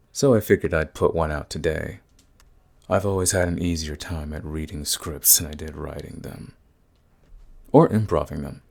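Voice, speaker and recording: Male channel host, very deep with a creaky edge, casually addressing subscribers. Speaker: ASMR voice performer and channel host.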